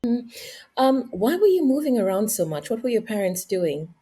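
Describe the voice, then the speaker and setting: A woman hosting an interview show, introducing her guest and asking deliberate questions. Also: a little high, big pitch swings, dark tone, husky. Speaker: podcast host.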